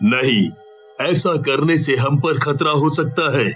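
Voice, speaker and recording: An actor playing a calm, authoritative, cautious village chief in a horror story. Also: male voice, on the high side, slow. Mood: grave. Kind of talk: animated horror story dialogue.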